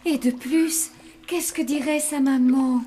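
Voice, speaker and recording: Cheerful zombie hotel mother, high. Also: fairly even intonation, slow pace, warm tone, a little hiss or hum. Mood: motherly. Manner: bubbly, motherly.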